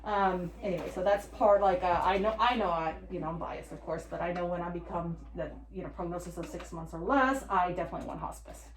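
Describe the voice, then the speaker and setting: A woman's voice: lowish voice, fairly quick, few pauses. A woman giving an informal presentation, talking in a conversational, rambling way. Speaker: hospice care representative giving a presentation. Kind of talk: informal presentation with group discussion. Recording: echoey room.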